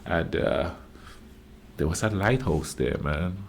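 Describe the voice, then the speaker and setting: A man in his fifties from the Caribbean, reacting to a travel video in a slow, musing way with drawn-out fillers. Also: deep voice, slight background noise. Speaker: reaction channel host. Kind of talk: casual reaction commentary.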